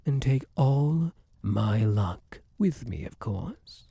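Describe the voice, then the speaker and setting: A man's voice: mid-range voice, lively intonation, takes it slowly, vocal fry, mellow, slightly rough, no room sound, full character voice. A man playing Luck itself as a possessive, smug, suavely menacing suitor in a theatrical ASMR roleplay, coaxing the listener with old-fashioned flowery endearments. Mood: wounded, dismissive.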